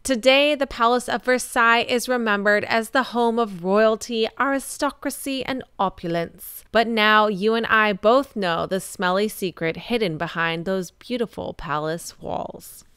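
A woman's voice: medium pitch, a little slow, hardly any pauses, a bit bright, smooth, no room sound, studio quality. A woman hosting a cleaning vlog, narrating a history story in a wry, playful scripted voice-over. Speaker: vlog host.

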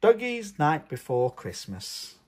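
A man reading a picture book aloud for young children, with expressive, singsong storytelling. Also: fairly high pitch, takes it slowly. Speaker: host of a children's read-aloud channel.

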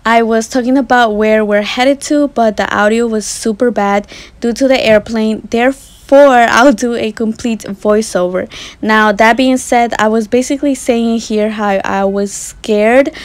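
A woman's voice: a little high, a little slow, no echo. A woman in her twenties, from Ecuador, narrating her travel vlog in a chatty, earnest voice-over. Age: 20s. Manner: chatty, earnest, laughs softly. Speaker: vlogger.

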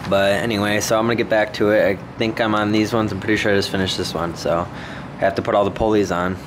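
Casual male vlogger, fairly deep voice, hesitant off-the-cuff delivery, recorded on a handheld camera with noticeable hum. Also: little pitch movement, fast talker. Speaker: home gym vlogger. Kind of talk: casual unscripted vlog narration. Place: concrete basement home gym, indoors. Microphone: handheld camera mic, operated by speaker.

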